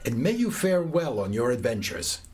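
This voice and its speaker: An actor playing a friendly, sensible young squire in an audio drama, gently advising. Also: male voice, mid-range voice, very animated, normal speed, slight background noise, close to an everyday voice. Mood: concerned, friendly.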